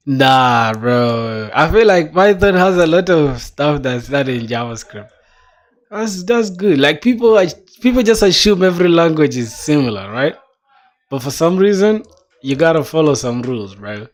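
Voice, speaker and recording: A young man from South Sudan, a self-taught programmer, thinking aloud while he codes, reading documentation and talking casually. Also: on the high side. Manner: casual, musing, reads docs aloud, says "bro".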